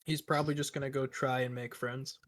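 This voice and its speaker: A man's voice: medium pitch, flat delivery, brisk. A man talking casually as a player in a tabletop game. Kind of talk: casual chat.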